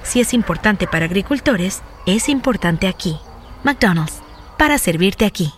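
A woman's voice: medium pitch, lively intonation, normal speed, slight background noise. A woman narrating a scripted advertisement as a commercial announcer.

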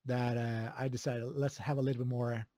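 A man's voice: medium pitch, fairly quick, no room sound. Swedish guy chatting easy in an interview, lots of uhs and little laughs, dead dry sound. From Sweden. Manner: relaxed, chuckling, many fillers and restarts. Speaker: video game composer, guest. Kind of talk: casual interview chat.

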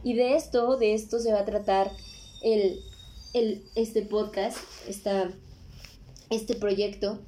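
A woman's voice: medium pitch, steady tone, takes it slowly, pauses often, dark tone, a little hiss or hum. A woman in her twenties with a Mexican accent, hosting a podcast in a casual, conversational monologue with frequent tag questions. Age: 20s. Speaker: podcast host.

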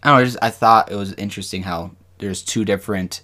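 A man co-hosting a podcast, talking casually and reading from notes, with halting, rambling explanations. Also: fairly low pitch, sharp tone. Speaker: podcast co-host. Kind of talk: casual discussion with some reading aloud from notes.

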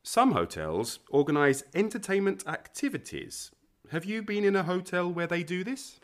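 A man's voice: high voice, big pitch swings, normal speed. A man asking scripted questions in an English lesson podcast.

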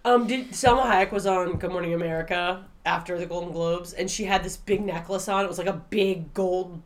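A woman's voice: fairly low pitch, fairly quick. A woman co-hosting a podcast in casual chat, ranting with lots of swearing and laughter. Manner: profane, laughing, animated rants. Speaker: podcast co-host.

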